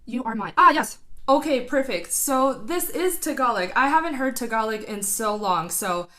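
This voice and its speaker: A woman with a slightly high voice talks through a song she has just heard, analyzing vowels and technique like a vocal coach. She is enthusiastic and a little rambling, full of fillers. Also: lively intonation, moderate pace, bright, forceful tone. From not Filipino; studied singing. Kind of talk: casual reaction commentary.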